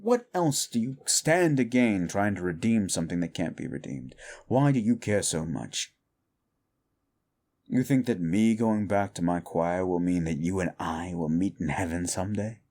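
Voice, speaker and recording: A man voicing a gravelly brooding demon. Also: animated, a little mellow. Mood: weary. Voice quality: deep gravelly voice. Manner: slow, brooding, scornful. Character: brooding fallen male demon, scornful and weary. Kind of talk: audio roleplay for a listener.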